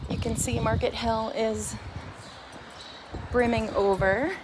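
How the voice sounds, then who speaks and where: A middle-aged woman hosting an unscripted walk-through vlog on a phone at arm's length, with a halting, meandering delivery. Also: a little high, steady tone. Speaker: host of a shopping preview vlog. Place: outside a metal warehouse store, then large busy indoor market and cafe. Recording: noisy handheld phone recording on location.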